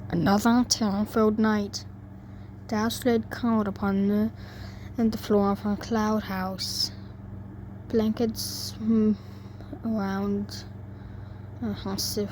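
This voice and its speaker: A woman's voice: mid-range voice, darkish tone. A woman narrating a story in an amateur reading, stumbling over words and rereading them. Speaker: fan fiction reader. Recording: noisy amateur home recording.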